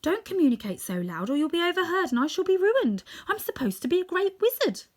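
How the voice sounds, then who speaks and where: A woman's voice: extremely high pitch, big pitch swings, a little fast, a little mellow, voice pushed up. A woman voicing a meek, frightened little old man who pleads apologetically, in a storybook reading.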